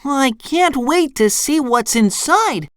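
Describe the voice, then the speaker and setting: A woman voicing an excitable, naive young male sea sponge from a cartoon, eager and wide-eyed, in a children's storybook. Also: high voice, takes it slowly, dry recording, studio quality, voice pushed up. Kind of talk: children's storybook character reading.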